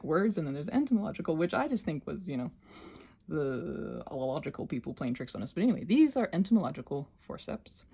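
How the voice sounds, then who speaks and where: A woman's voice: fairly low pitch, husky. A woman talking through a craft demonstration in a rambling, theatrical, self-interrupting way, chatting to herself and to objects, recorded on a phone. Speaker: craft video creator demonstrating butterfly wing prep. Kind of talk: rambling casual craft tutorial with asides to self.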